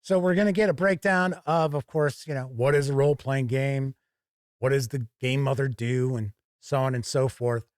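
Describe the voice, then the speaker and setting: A man hosting a casual product review, talking deliberately and explaining things step by step with conversational asides. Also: medium pitch, fairly even intonation, no echo, studio quality. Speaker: reviewer and show host.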